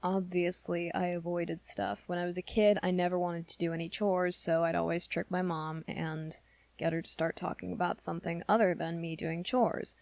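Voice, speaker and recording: A woman's voice: on the low side, level tone, gravelly, no room sound. A woman voicing an awkward, earnest teenage werewolf boy in an intimate, hesitant ASMR roleplay. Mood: earnest, nudging. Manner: hesitant, halting, rambling confession to listener.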